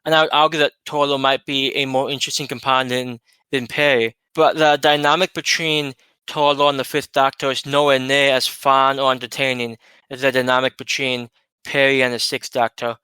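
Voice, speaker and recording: A man co-hosting a casual chat, giving his opinions in a steady, easygoing way. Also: mid-range voice, moderate pace, clear, resonant tone, no echo. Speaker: podcast co-host.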